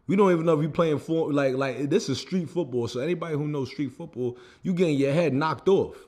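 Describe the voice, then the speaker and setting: A man's voice: on the high side, a little fast, darkish tone. A young American man vlogging about his own setbacks in a loose, casual way, with lots of "you know what I mean" and "like". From United States. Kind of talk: casual personal storytelling.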